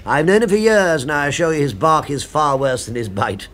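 A man acts a genial gentleman diner in a seventies radio drama. His voice sits fairly high for a man. He is urbane, chatty and fond of teasing. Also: a little fast, lightly acted. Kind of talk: 1970s radio drama acting.

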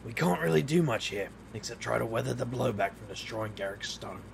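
An actor playing a cocky street magician hero in an audio drama, sardonic and flippant. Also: male voice, mid-range voice, brisk, vocal fry, noisy background. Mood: tense narration. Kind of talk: audio drama acting and first-person narration.

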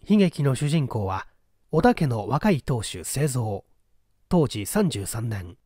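Guy narrating a mystery audiobook, polite n measured, really varied intonation, very dry close sound. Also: a little high, dark tone, no room sound. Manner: measured, polite storytelling delivery. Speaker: audiobook reader. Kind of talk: dramatic literary narration of a mystery story.

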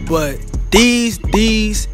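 A man's voice: high voice, drawn-out words, over music. Playful young man interviewing in a mall. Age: young adult.

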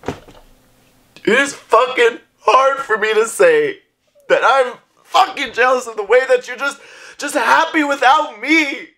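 A man performing a dramatic monologue as a jealous, heartbroken young lover, halting and tearful. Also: extremely high pitch, sharp tone, pitched above the performer's own voice, exaggerated voice. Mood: tearful anger. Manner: halting, emotional, breaking into whispers and cries. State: crying. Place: small indoor room.

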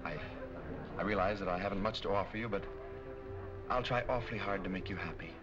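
An actor playing an earnest, courteous young suitor in a 1940s film, gentle and slightly hesitant. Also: male voice, low voice, fast, close to an everyday voice. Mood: earnest pleading. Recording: dull 1940 film soundtrack, loud music behind.

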